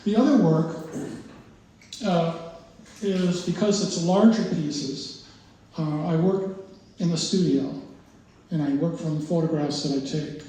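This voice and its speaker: An older man speaks about his work with a mellow, relaxed voice, pausing often and restarting his sentences. He sounds distant from the microphone, his voice ringing through a very echoey indoor gallery. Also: on the high side. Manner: halting, many uhs and restarts. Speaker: exhibiting artist. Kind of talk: informal gallery talk. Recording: very echoey room, voice far from mic, faint noise.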